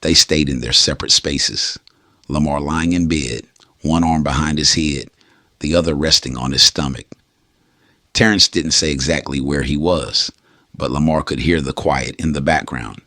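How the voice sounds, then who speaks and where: Male narrator, very low voice, intimate bedtime storytelling, dry booth sound. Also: steady tone, moderate pace, sharp tone, dry recording. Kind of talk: scripted storytelling.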